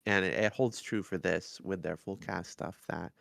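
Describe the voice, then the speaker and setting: Male podcast co-host, conversational, with noticeable vocal fry and a dry, close sound. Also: low voice, fairly even intonation, moderate pace, a bit bright, no room sound. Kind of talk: casual review podcast chat.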